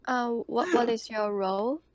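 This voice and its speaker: A woman chatting casually as a player in an online tabletop game, tentative and halting. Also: fairly high pitch, fairly even intonation, a crowd in the background. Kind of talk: casual chat. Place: online voice call.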